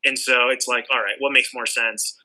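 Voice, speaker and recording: A man's voice: medium pitch, little pitch movement, normal speed, sharp tone, rough recording. A man from Southern California, a sculptor and art teacher, chatting casually in an interview and rambling with lots of "like" and restarts. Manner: rambling, many likes and restarts.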